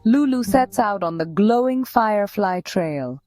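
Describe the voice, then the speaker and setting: Slow-paced woman narrating a children's story. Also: mid-range voice, animated, dark tone.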